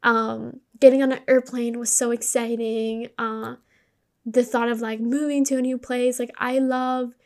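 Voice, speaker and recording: Higher-voiced Colombian woman telling her story. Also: flat delivery, a little slow, a little mellow. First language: Spanish. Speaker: podcast host. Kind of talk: personal storytelling, conversational monologue.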